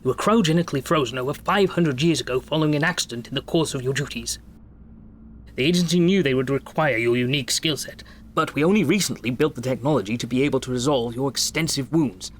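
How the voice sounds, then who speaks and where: A man playing a brisk, formal, encouraging doctor who gives instructions in a sci-fi video game. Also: medium pitch, slight background noise, close to an everyday voice. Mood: brisk, instructive. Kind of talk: video game tutorial dialogue acting.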